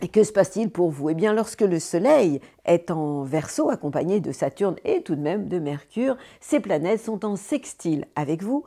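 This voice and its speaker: A woman's voice: lowish voice, animated, normal speed, hardly any pauses, warm tone. A woman hosting a weekly horoscope in a chatty, bubbly way, full of fillers and little self-corrections. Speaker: astrologer, channel host. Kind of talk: casual weekly horoscope talk.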